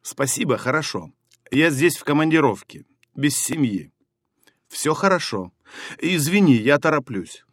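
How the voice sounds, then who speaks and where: A man reads language-course phrases at an unhurried, measured pace, each one spoken carefully and clearly. His intonation moves up and down a lot from phrase to phrase, and the recording is close and dry with no room sound. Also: on the high side, lively intonation, no echo. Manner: deliberate, carefully enunciated with pauses between phrases. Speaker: phrasebook reader.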